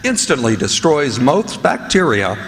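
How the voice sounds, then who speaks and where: Animated male radio announcer in a hall. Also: mid-range voice, measured pace. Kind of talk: old-time radio announcing, scripted credits and sponsor read. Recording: hall with long reverb.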